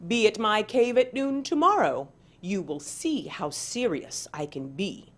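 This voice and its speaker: A woman voicing a small, indignant male armadillo who speaks in formal, deliberate phrases and stands his ground in a storybook tale. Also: medium pitch, animated. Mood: stern, defiant.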